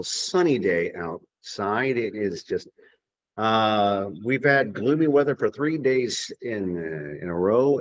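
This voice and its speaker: Man, very low voice, rambling podcast guest who keeps restarting his sentences. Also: dull audio. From Tennessee, USA. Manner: rambling, hesitant, with many restarts and fillers. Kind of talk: casual podcast chat.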